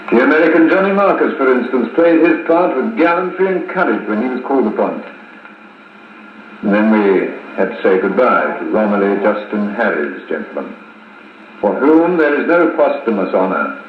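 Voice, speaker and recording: A man acting a formal, deliberate, middle-aged colonel narrating grim events in a 1950s radio serial. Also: mid-range voice, phone-quality sound, natural voice. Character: measured, authoritative British intelligence colonel recounting grim events. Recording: scratchy, echoing 1950s wire recording.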